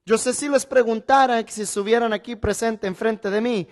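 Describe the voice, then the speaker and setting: A man delivering a Bible teaching sermon as a preacher, phrasing things deliberately and emphatically, with rhetorical questions and repetition. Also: extremely high pitch, fairly even intonation.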